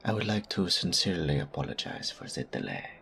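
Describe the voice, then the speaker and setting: Man playing a pompous, deep-voiced lordly servant. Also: big pitch swings, fairly quick, vocal fry, pushed and bright, slight background noise, heavily acted. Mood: formal, deferential. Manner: formal, pompous, over-polite. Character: stuffy, haughty male agent of a baron. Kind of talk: audio roleplay drama acting.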